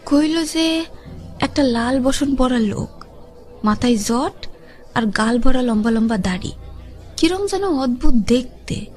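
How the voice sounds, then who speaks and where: A middle-aged village gossip acted by a woman talks in a high voice and a rural Bengali dialect. She reports news emphatically and colloquially, as in an audio drama. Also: expressive, slow, pauses often, darkish tone. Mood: grave reporting.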